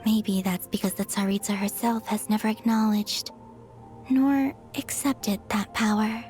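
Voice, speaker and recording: A woman voicing a gentle, wistful young moon goddess in a game cutscene, speaking softly and sincerely in a measured, breathy way. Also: medium pitch, steady tone, soft background music, close to an everyday voice. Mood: pensive.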